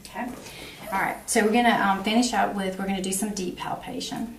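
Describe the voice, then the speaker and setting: Creaky-voiced woman instructor in a roomy exam room. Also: mid-range voice, fairly even intonation, a little fast. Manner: instructional, explaining each step aloud. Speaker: nursing instructor demonstrating a physical exam. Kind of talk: clinical demonstration explained to a patient. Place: small block-walled exam room. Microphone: camera a few feet away. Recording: roomy, distant camera mic, faint noise.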